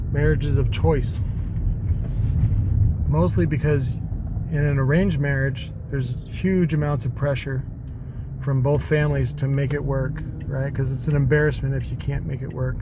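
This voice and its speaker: A middle-aged American man rambling wryly through personal stories while driving, with frequent "right?" asides. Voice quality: fairly high-pitched voice. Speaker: vlogger. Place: in a vehicle, driving. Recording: loud car and road noise. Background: car and traffic sounds.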